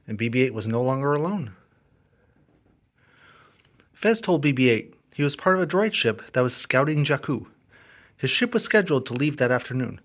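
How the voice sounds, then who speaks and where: A man narrating a children's story as an expressive read-along. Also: mid-range voice. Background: music and sound effects.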